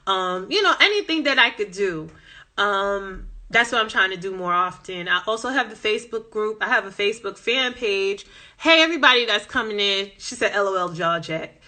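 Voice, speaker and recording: A woman's voice: mid-range voice, animated, moderate pace, sharp tone. A woman with a Southern American accent chatting passionately on a phone live stream, reading viewer comments as she goes. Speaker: language-learning Instagram host.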